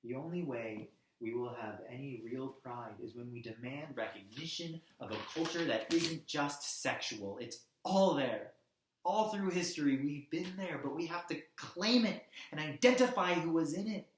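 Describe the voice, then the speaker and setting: A man's voice: a little high, lively intonation, slight background noise, natural voice. Man acting an impassioned activist, echoey room. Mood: impassioned, resolute. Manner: emphatic, halting delivery in short weighted phrases. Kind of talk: theatrical dramatic monologue.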